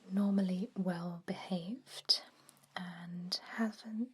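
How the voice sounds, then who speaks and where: A woman playing a friendly, quietly sinister vampire head nurse in a soft, breathy ASMR whisper. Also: mid-range voice. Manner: slow, gentle, coaxing, professional patter. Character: friendly chatty female vampire head nurse, cheerfully sinister toward captives.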